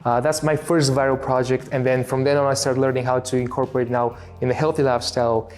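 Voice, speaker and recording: A man in his thirties from Bulgaria with a Bulgarian accent, telling his story in a relaxed interview, pausing often with fillers and restarts. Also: mid-range voice, soft background music. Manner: halting, many fillers and restarts. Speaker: interview guest, filmmaker. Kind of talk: casual interview talk.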